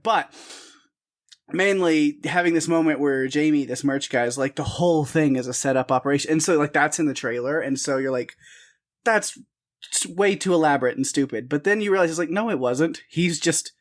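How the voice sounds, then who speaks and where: A man's voice: fairly high pitch, brisk. A man co-hosting a film podcast, talking casually with frequent restarts and little laughs. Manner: rambling, restarts, self-deprecating laughs. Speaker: podcast co-host. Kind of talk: casual chat.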